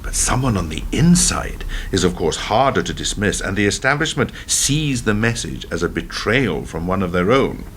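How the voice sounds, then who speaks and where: A man acting as a defiant, embittered ex-prime minister in a radio play, speaking in a deliberate, rhetorical, self-justifying manner. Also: sits low, very animated, fairly bright tone, slight background noise. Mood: grave. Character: defiant, embittered male ex-prime minister telling his story.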